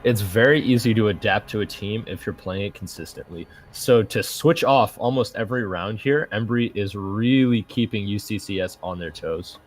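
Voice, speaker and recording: A man doing live esports commentary in a measured, analytical way. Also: lowish voice. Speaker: esports caster.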